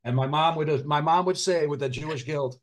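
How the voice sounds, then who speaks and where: A middle-aged man, an author and physician, speaking earnestly in an interview, often restarting his sentences and dropping quiet at heavy moments. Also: on the high side. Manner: earnest, halting, frequent restarts and fillers. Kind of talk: reflective interview conversation.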